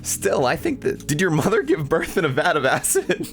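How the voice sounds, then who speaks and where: Man acting out a melodramatic teenage anime boy, self-pitying, swooping pitch, visual novel narration, faint music. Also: wildly swooping pitch, normal speed, vocal fry, exaggerated voice. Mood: appalled, rude. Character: angsty, melodramatic teenage anime schoolboy protagonist. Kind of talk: visual novel read-aloud.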